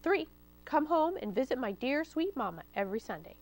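A woman's voice: high-pitched, big pitch swings, fairly quick. A woman voicing a plucky young female piglet in a children's story, bold, sassy and confident. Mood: confident, eager.

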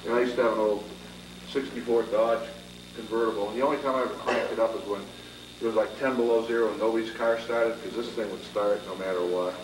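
A man's voice: fairly low pitch, fairly even intonation, quick pace. A man on a panel telling a rambling, easygoing story in casual chat. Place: indoor panel room. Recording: echoey room from far off with background noise.

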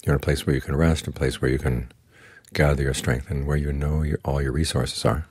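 An older man, a Buddhist monk, giving a slow, calm, plainspoken guided meditation talk. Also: very deep voice, husky, dry recording. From United States.

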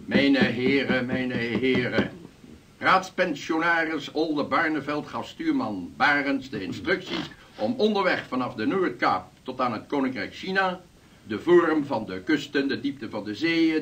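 A man's voice: mid-range voice, lively intonation, unhurried, fairly bright tone. An actor in a Dutch radio drama playing a dignified, authoritative chairman with a formal, stately delivery. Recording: distant from the microphone.

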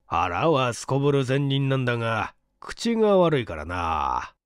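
A man's voice: mid-range voice, very animated, measured pace, clean tone, no room sound, studio quality. A man voicing a jovial, informal senior professor with teasing good humour in an audiobook. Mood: genial.

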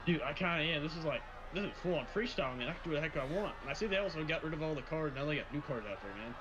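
A man with an American accent chats casually as a player in a gaming roleplay. He talks fast in a somewhat high voice, over clear background game noise. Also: lively intonation, lo-fi sound. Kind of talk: casual improvised banter.

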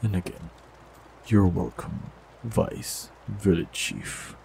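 Deep gravelly man, calm roleplay. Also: slow, a little hiss or hum, poor audio. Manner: calm, gentle, softly teasing. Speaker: audio roleplay voice actor. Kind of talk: scripted fantasy roleplay, warlock character.

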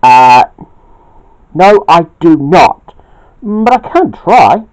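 A hot-tempered man from a homemade storybook tale, acted out by a male storyteller. The pitch rides high and leaps around dramatically as he squabbles. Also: sing-song, drawn-out words, highs cut off, exaggerated voice. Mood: puzzled. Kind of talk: amateur storytelling with acted dialogue.

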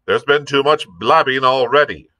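A man's voice: medium pitch, normal speed, bright, forceful tone, no room sound, highs cut off, voice pushed up, natural voice. A man reading a storybook, voicing a blunt, stern and prickly sea captain in an emphatic, clipped manner. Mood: insistent. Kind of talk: audiobook character reading.

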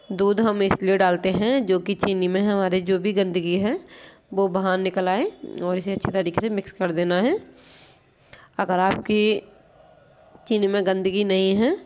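A woman hosts a home cooking lesson, narrating each step patiently as she works at a gas stove. She talks in a plain, even, mid-pitched voice and keeps drawing attention to what is happening in the pan, recorded on a phone in a home kitchen. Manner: patient, explanatory, keeps pointing things out. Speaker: home cooking channel host.

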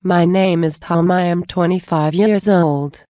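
A woman reading English example phrases for a language lesson, saying many of them twice in a measured way. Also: fairly low pitch, steady tone, mellow, no echo, clean studio sound. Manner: measured, repeats each phrase slowly. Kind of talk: slow scripted phrase reading.

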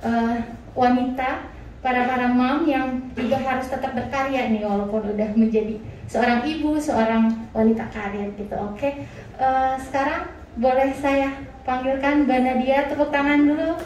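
A woman's voice: high voice, steady tone, normal speed, darkish tone. A woman hosting a clinic meetup on a handheld mic, chatty and full of fillers. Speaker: event host at clinic. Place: clinic showroom, indoors. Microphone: handheld mic, far camera. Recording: echoey room, distant mic, background noise.

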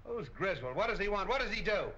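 Hard-nosed, sharp-edged police captain. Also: male voice, sits high, sing-song, quick pace, full character voice. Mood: badgering. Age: middle-aged. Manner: gruff, badgering, clipped. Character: hard-nosed, browbeating middle-aged male police captain. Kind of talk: 1940s crime film acting. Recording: muffled, hissy 1940 film soundtrack.

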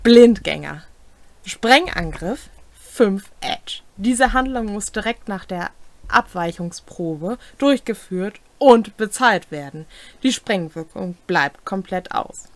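A young adult woman, co-hosting and reading game rules aloud in a deliberate way with brief comments. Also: mid-range voice, expressive. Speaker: co-host explaining tabletop game rules. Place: small home room, indoors.

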